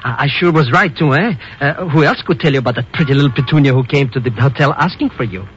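An actor playing a chatty, scheming hustler sidekick with slangy, wheedling talk in a 1950s radio drama. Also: male voice, medium pitch, fast, fairly bright tone, close to an everyday voice. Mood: boastful, wheedling. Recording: muffled, faintly noisy 1950s radio recording.

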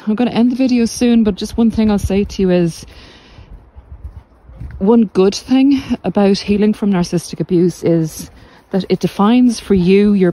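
A middle-aged woman giving reflective, informal podcast advice in a measured, deliberate way with pauses. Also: lowish voice, mellow, low-quality recording. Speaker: podcast host and narcissistic abuse recovery coach. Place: walk outdoors along the coast.

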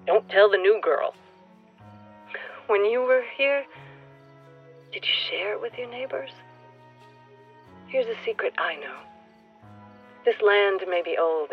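An earnest newcomer in a radio play, confiding with wistful wonder and sometimes dropping to a hush. The sound is slightly muffled, with music underneath. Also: female voice, lowish voice. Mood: playful, confiding. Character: earnest nature-loving newcomer woman speaking to a departed listener.

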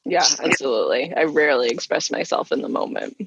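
A woman frankly telling a personal story in casual chat, earnest and quick to laugh. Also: a bass voice, sing-song, gravelly, dull audio, background noise.

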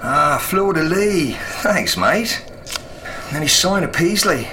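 Animated, sympathetic expedition doctor. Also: male voice, on the high side, slow, a little hiss or hum, heavily acted, poor audio. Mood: musing, sympathetic. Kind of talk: radio drama acting.

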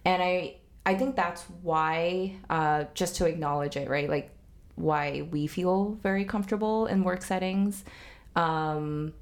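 A woman's voice: fairly low pitch, unhurried. A young woman, Cantonese her first language, chatting casually with fillers, restarts and frequent laughs. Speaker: podcast guest. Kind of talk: casual chat.

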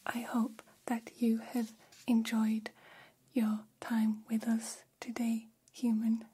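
A woman voicing a gentle, curious humanoid alien in whispered ASMR roleplay, speaking in soothing, stilted, formal phrases. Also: fairly high pitch, monotone, takes it slowly, full character voice. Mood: grateful, gentle. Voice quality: breathy whisper.

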